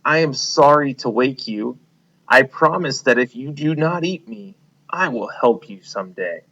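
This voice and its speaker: A man's voice: mid-range voice, animated, a little slow, a bit bright, pitched above the performer's own voice. A man voicing a small, timid but brave female mouse who speaks politely and pleads, in a storybook read-aloud. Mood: pleading. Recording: dull lo-fi recording.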